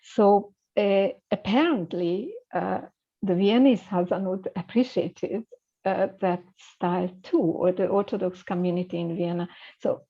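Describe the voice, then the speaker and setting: A woman's voice: mid-range voice, unhurried, dark tone, muffled recording. A woman speaking as a scholar in an interview, hesitant and thoughtful, full of fillers and restarts. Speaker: scholar guest. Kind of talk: conversational interview.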